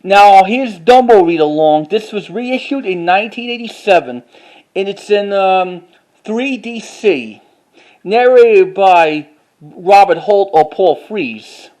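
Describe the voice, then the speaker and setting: A man giving a casual show-and-tell as a collector, talking informally in a halting, repetitive way. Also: high voice, lively intonation, a little mellow. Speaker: collector showing items to camera.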